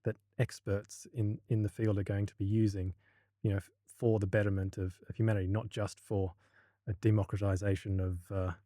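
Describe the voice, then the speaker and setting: Mellow-voiced Australian man, hesitant speech. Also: lowish voice, flat delivery, fairly quick, dry recording, studio quality. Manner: hesitant, many restarts and fillers. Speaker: university lecturer, podcast guest. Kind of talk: casual podcast interview.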